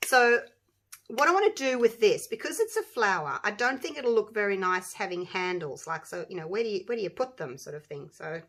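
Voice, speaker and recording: A woman with a croaky voice, chatting casually to viewers as she demonstrates a resin craft project. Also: medium pitch, expressive, fairly quick, fairly bright tone. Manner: chatty, rambling, talks to viewers while working. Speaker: resin craft channel host.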